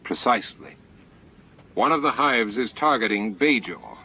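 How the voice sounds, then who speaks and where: A man voicing a steady, dutiful starship captain in an audiobook, frank and measured. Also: on the low side. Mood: dry, firm. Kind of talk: audiobook character dialogue. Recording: muffled lo-fi audiobook with music.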